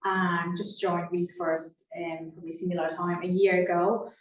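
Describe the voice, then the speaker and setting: A woman co-hosts a webinar in a conversational style. Her voice sits slightly low, and the recording is roomy and echoing, a little away from the microphone. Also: flat delivery, normal speed. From Thailand. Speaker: immigration and mobility consultant co-hosting a webinar. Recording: roomy echo, distant microphone.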